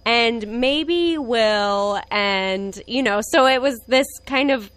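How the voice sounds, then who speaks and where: A woman's voice: on the high side, slow pace, clean tone, no room sound. Candid woman in her twenties. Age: 20s. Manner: reflective, candid, soft laughs. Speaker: guest. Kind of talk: casual personal conversation.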